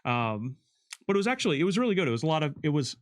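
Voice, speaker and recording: A man's voice: a little high, big pitch swings, rapid-fire. A man talking as a game developer in casual, unscripted conversation, explaining things and slipping into short imagined quotes. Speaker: game developer on a commentary stream.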